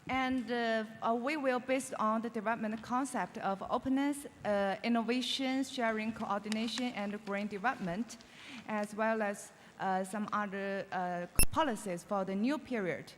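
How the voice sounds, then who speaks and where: High-voiced Chinese-accented woman interpreting in a hall. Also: moderate pace. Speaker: consecutive interpreter. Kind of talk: formal interpreted speech. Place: large conference hall. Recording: reverberant hall, faint noise.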